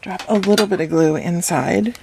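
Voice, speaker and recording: A woman explaining a craft step by step in a calm, instructive way, her voice slightly hoarse from a cold. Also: on the low side, normal speed, background noise. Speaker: craft tutorial host. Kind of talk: step-by-step craft tutorial voice-over.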